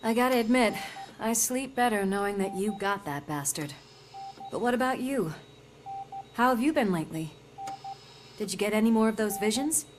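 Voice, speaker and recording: Woman as loyal female cop, medium pitch, earnest, confiding, conversational game acting. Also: animated, normal speed, long pauses, natural voice. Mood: concerned, earnest. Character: loyal, concerned female police partner recovering in hospital. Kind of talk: video game cutscene acting.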